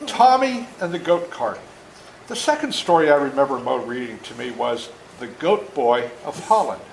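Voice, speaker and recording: Animated older man reading aloud, echoey room. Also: medium pitch, moderate pace, vocal fry. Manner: animated, dramatic emphasis, folksy explanations. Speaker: author reading his own book to schoolchildren. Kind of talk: storytelling read-aloud with asides to children. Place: indoor room at a school. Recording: echoey room with faint background noise.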